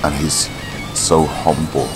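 Very deep-voiced man with a cough. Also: over music. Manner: relaxed, halting, many fillers. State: has a cough. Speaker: vlogger. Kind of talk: casual chat. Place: indoors in a large shop.

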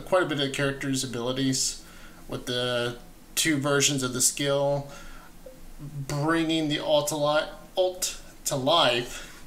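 Bright-voiced man giving casual reaction commentary. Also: mid-range voice. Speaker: reaction video host. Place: small indoor room at home.

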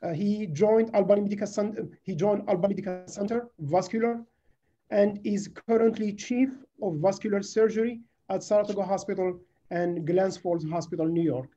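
A man formally introducing a speaker on a video call, reading out a biography haltingly and repeating phrases. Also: high-pitched, dark tone. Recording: dull lo-fi video call audio.

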